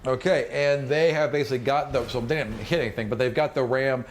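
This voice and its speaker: A man's voice: mid-range voice, steady tone, fast talker. A man running a tabletop fantasy game as game master, improvising narration and acting out sound effects. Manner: acts out sound effects while describing action. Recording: noticeable background noise.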